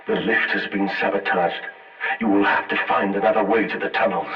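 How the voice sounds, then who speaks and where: An actor playing a solemn, commanding, sinister machine intelligence in a horror game, speaking through a telephone filter. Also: male voice, fairly low pitch, fairly even intonation, brisk. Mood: ominous command. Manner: grave, commanding, portentous. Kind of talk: scripted horror game acting. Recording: dull, distant, echoing telephone transmission with music.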